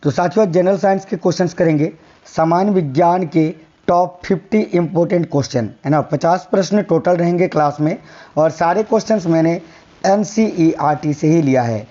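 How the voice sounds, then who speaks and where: A hoarse man teaching a quiz-style science lesson for exam prep, repeating each answer and telling viewers to remember it. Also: fairly high pitch, normal speed, mellow, dull audio. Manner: repeats answers, coaxing "याद रखिएगा".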